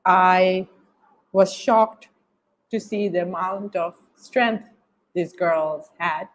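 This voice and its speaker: A woman with a Bangladeshi accent speaks slowly in a slightly low voice. She answers interview questions reflectively, pausing to search for words and often repeating a phrase before she moves on. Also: poor audio. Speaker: interview guest, documentary filmmaker.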